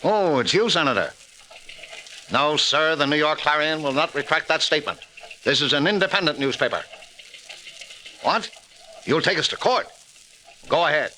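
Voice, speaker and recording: An actor playing a brusque, no-nonsense newspaper editor in a 1940s radio drama, brisk and assertive. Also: male voice, a little high, animated, pushed and bright. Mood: defiant, brusque. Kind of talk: 1940s radio mystery acting. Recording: hissy, crackly old radio recording.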